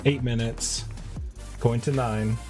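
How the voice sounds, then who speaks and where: A man's voice: medium pitch, fairly even intonation, slow pace. A man giving casual live-stream commentary while playing an online game, in short offhand remarks. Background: music behind the voice.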